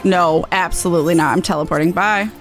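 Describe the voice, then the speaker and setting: A woman's voice: lowish voice, quick pace, soft background music. A woman game streamer chatting casually, with a playful, blunt, sweary way of talking. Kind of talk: casual playthrough chat.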